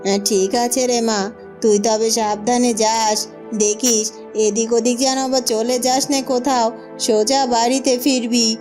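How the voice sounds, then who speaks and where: A woman's voice: a little high, little pitch movement, normal speed, music in the background, lightly acted. A woman voicing an affectionate village aunt in a Bengali cartoon, motherly and fussing. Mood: affectionate, cautioning. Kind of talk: Bengali cartoon fairy-tale voice acting.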